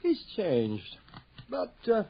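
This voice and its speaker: Slow-talking working-class father, muffled recording. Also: sits high, wildly swooping pitch, gravelly, exaggerated voice. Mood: jovial, chatty. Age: middle-aged. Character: working-class father, superstitious, excitable, fond husband. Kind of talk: old-fashioned radio drama acting. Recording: dull lo-fi radio drama recording.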